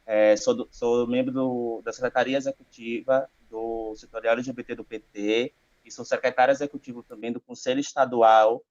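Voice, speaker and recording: A man with a Northeastern Brazilian accent from Bahia introducing himself haltingly as a guest on a video call. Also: medium pitch, little pitch movement, a little mellow, no echo. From Serrinha, Bahia, Brazil. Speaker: guest, political organizer. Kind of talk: self-introduction on a live stream. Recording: dull, dry video call audio.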